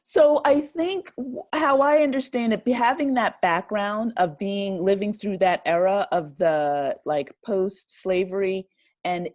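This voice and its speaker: A woman's voice: medium pitch, unhurried, darkish tone, dry recording. A woman speaking as an interview guest in a casual, reflective way, tentative and often correcting herself. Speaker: interview guest, writer and director.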